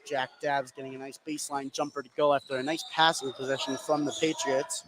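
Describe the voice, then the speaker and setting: A man's voice: mid-range voice, flat delivery, brisk. An American man calling live basketball play-by-play for radio from a gym. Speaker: play-by-play commentator. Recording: noisy live gym broadcast.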